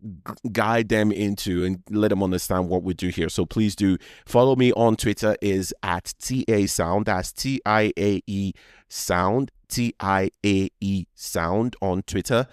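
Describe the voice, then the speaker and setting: A man's voice: lowish voice, a little slow, clean tone, dry recording, studio quality. A man from Nigeria with a Yoruba accent, hosting a casual solo podcast in a rambling, conversational way that often doubles back mid-phrase. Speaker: podcast host.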